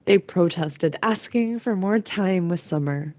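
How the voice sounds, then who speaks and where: A woman's voice: on the low side, animated, measured pace, a little mellow, dry recording. A woman narrating a scripted story as the narrator of an audio play.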